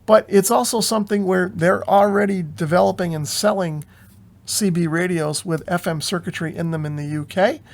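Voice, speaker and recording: An American man hosting an informal explainer, talking in a measured, explanatory way as a hobbyist giving his own take. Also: sits high, gravelly. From United States. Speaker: video host, amateur radio hobbyist. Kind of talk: informal explainer commentary on radio news.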